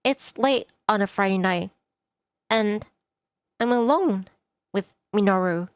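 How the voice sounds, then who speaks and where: A woman's voice: medium pitch, slow, smooth, no room sound. A woman reading a visual novel aloud expressively as she plays, with music behind her. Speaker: VTuber playing a visual novel. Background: background music.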